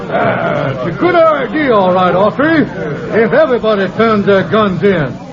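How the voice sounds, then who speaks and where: An excitable frontier townsman in an old radio drama. His high voice swings up and down, hesitant and eager, over crowd noise on a muffled recording. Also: moderate pace. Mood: doubtful. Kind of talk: 1940s radio Western acting. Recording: muffled 1940s radio broadcast, crowd behind.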